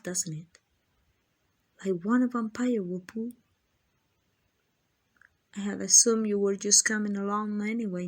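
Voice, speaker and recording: An arrogant female vampire, acted by a woman for an ASMR roleplay. She talks slowly in a mid-range voice, toying with the listener in a condescending, possessive way. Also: mid-range voice. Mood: condescending, teasing. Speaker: amateur voice actor.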